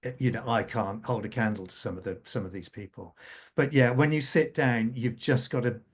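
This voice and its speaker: Guy chatting on a writers panel, mid pitch voice, leans on words for emphasis. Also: normal speed, slightly rough. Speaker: radio drama scriptwriter, panel guest. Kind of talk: conversational panel interview.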